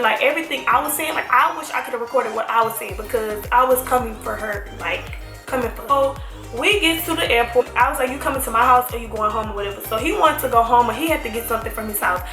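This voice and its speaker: A young woman telling a story to camera in a casual, slangy, emphatic way, talking straight to her viewers. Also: high voice. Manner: animated, slangy, emphatic, addresses viewers as y'all. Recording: echoey room with faint music.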